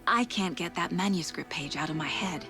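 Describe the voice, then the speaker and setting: A woman's voice: lowish voice, normal speed, over music, natural voice. A woman playing a composed, driven FBI agent in a video game, speaking in a focused, analytical, matter-of-fact way. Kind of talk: cinematic video game acting. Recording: clear background ambient noise.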